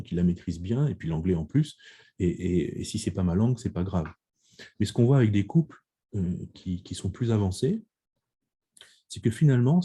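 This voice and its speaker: A man giving a video-conference lecture on survey findings, speaking in measured phrases with frequent restarts and hesitations. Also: on the low side, dark tone, husky. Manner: measured, many restarts and pauses with "euh". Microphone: video call.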